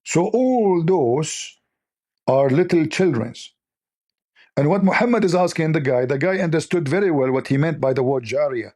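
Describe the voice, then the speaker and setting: Male host, slightly high voice, hoarse from overuse, scornful lecturing tone, non-native English. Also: expressive, normal speed, mellow. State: losing his voice. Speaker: religious debate host. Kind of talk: polemical commentary reading texts.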